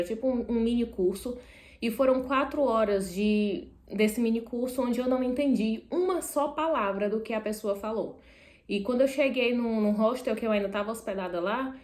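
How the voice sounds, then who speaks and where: A woman's voice: a little high, reverberant. A young Brazilian woman with a Brazilian Portuguese accent, chatting casually to camera while seated indoors and often correcting herself mid-sentence. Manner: chatty, self-correcting, animated asides. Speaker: vlogger.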